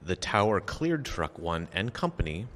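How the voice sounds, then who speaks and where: A low-pitched man delivers a formal official statement. He speaks in a measured, factual way, setting out each point deliberately. His voice carries a long reverberant echo, as in a hall. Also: big pitch swings, a bit bright. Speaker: official giving an investigation briefing. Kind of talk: formal prepared statement read at a press briefing.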